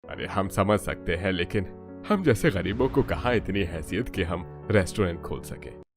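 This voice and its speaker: A man's voice: sits low, expressive, normal speed, music in the background, pitched below the performer's own voice. Man playing a stern, worried poor father. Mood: resigned.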